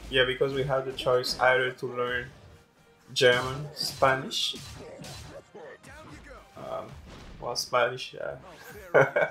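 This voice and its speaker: Man, French native, slow deliberate pace, rambling game commentary, faint music behind. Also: mid-range voice, little pitch movement, sharp tone, low-quality recording. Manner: chatty, rambling, repeats words. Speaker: gaming channel host. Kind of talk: casual gameplay commentary.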